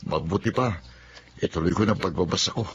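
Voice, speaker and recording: Man playing low-voiced earnest young Filipino student. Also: brisk, a little hiss or hum, lightly acted. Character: earnest, principled young working student, a devoted son. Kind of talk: radio drama acting. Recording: dull band-limited radio drama audio, music.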